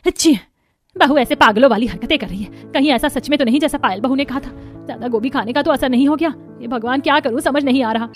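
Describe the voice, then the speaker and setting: A woman's voice: high-pitched, fast, faint music behind, lightly acted. A woman with an Indian accent voicing a scolding, easily flustered middle-aged mother-in-law in a family cartoon, dramatic and full of exclamations. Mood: worried. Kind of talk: animated moral-story voice acting.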